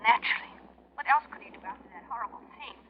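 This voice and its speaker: Actress as an indignant high-voiced woman, old radio. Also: fast talker, close to an everyday voice. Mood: reproachful. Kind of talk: 1940s radio acting. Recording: muffled, lightly noisy 1940s radio recording.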